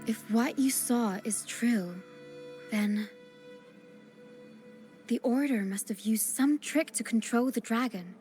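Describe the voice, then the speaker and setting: Serious, higher-voiced young adventurer heroine. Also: female voice, slow pace, pauses often, warm tone, soft background music. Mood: pensive, serious. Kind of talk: video game voice acting.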